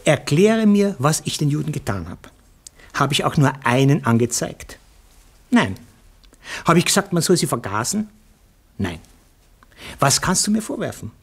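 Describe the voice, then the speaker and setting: A husky Austrian man in a radio play, petty and self-righteous. His pitch swings widely as he argues and justifies himself. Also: very animated, normal speed, long pauses, exaggerated voice. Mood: indignant, self-righteous. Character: defensive Viennese bystander excusing himself, petty and self-righteous. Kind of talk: German radio play acting.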